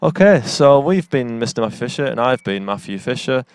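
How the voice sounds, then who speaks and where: A man's voice: mid-range voice, brisk, smooth, dry recording. A man from England, chatting playfully about his band outdoors. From northeast England. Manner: joking, relaxed, playful. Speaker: band frontman. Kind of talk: casual band chat.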